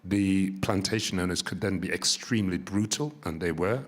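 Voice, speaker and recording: Low-pitched male panelist, informal history talk. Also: moderate pace.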